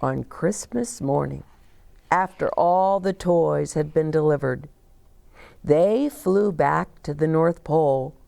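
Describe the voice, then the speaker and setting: Older lady reading kids storybook, really slow, creaky voice, dramatic pauses. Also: low voice, no echo. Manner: dramatic pauses, hushed emphasis. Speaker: children's storyteller. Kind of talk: expressive picture-book read-aloud. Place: small decorated indoor set, seated.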